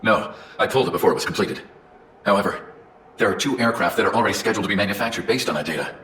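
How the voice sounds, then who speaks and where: An actor playing a weary, guilt-ridden scientist in a game, narrating in a somber, measured, confessional way over loud music. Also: male voice, fairly low pitch, slight background noise, close to an everyday voice. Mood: resigned. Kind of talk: scripted dramatic narration.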